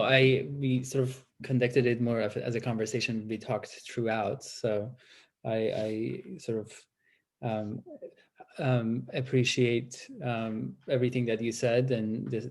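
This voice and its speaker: A man from Iran, a native Persian speaker, interviewing in an academic conversation with halting, tentative phrasing as he searches for words. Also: mid-range voice, steady tone, a little mellow.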